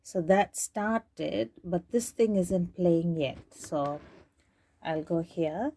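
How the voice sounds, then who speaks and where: Unhurried woman giving a hands-on tutorial. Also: on the low side, steady tone, dark tone. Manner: hesitant, step-by-step, thinking aloud. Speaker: tutorial presenter demonstrating tape digitizing. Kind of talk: informal unscripted how-to demonstration.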